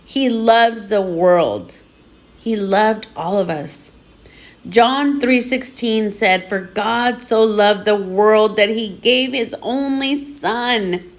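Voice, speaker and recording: Slow-paced woman reading devotional text aloud. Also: mid-range voice.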